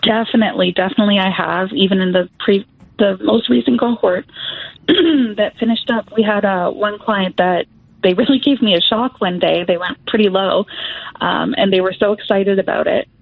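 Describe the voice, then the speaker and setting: A woman's voice: medium pitch, normal speed, gravelly, slightly rough, dry recording. A young woman music therapist talks through her work in an easygoing way, her speech full of small fillers. The sound is thin and tinny, like a phone line, and the voice is dry and close, with no room around it. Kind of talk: interview guest, casual explanation.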